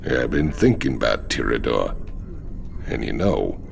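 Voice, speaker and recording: An actor playing a gruff, wary mercenary in a video game, with a gravelly voice and sardonic drawl. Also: male voice, very deep voice, sing-song, normal speed, over music, exaggerated voice. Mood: wry, uneasy. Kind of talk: video game cutscene acting.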